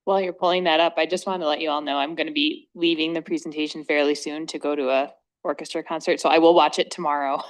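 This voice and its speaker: A woman's voice: sits low, fairly even intonation, fast, few pauses. A woman, a board member, making casual, joking remarks in a meeting. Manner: joking, informal.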